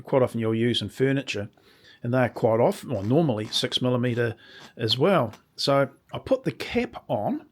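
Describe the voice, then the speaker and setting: Slow-talking, slightly croaky New man. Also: medium pitch. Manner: rambling, restarts sentences while explaining. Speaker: woodworking channel host. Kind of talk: casual workshop demonstration.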